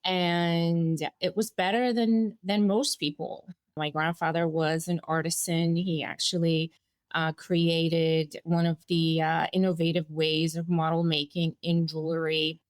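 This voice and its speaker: A woman's voice: deep voice, little pitch movement, a little slow, clear, resonant tone, no room sound, studio quality. A woman in her forties, born in Bulgaria and raised in the United States, telling her life story as a guest in a candid, reflective way. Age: 40s. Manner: reflective, candid, with small laughs and restarts. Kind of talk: personal storytelling interview.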